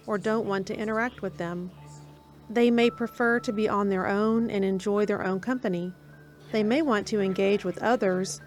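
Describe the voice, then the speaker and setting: A woman reading scripted documentary narration in a measured, even way with slow pauses. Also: mid-range voice.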